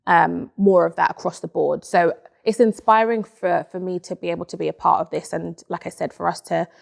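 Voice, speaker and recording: A young woman from Nigeria, an interview guest in a dark studio, speaking earnestly and with self-assurance on a lapel mic. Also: lowish voice, fairly even intonation, a little fast, vocal fry. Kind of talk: casual interview chat. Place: dark studio set, indoors.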